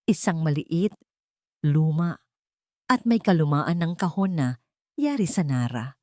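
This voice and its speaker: A woman narrating a scripted, dramatic audio story in measured, deliberate phrases. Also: sits low, big pitch swings, a little mellow, dry recording, studio quality.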